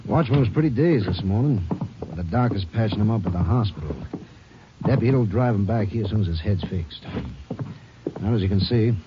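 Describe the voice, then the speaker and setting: Man doing a helpful small-town sheriff, lowish voice, hissy old radio. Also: lively intonation, fairly quick, natural voice. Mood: easygoing. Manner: easygoing, helpful. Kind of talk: 1950s radio drama acting. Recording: lo-fi vintage radio recording with noticeable hiss.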